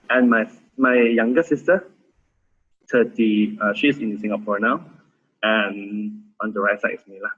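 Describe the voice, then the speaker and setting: A man in his thirties with a Malaysian accent, sharing his story as a volunteer in a halting way with many restarts. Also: mid-range voice, slightly rough, phone-quality sound. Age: 30s. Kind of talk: casual testimony sharing.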